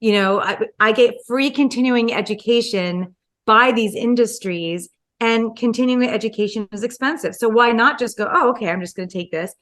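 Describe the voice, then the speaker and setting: A middle-aged woman from the United States, a dietitian guest telling her story in an animated, casual way, full of "like" and "you know". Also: fairly high pitch, normal speed. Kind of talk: casual interview chat.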